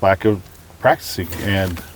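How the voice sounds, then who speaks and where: A man from California, a course participant reflecting haltingly on practice. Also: sits low, a little hiss or hum.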